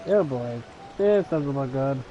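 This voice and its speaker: A man livestreaming a game with casual, sparse, halting commentary. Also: mid-range voice, animated. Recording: dull lo-fi mic, game music behind.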